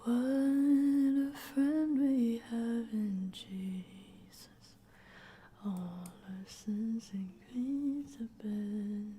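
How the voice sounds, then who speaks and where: A woman doing an ASMR livestream in soft, breathy whispers. Her tone is mellow and gentle on the ear, with faint noise behind her. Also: fairly high pitch, drawn-out words. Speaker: ASMR streamer.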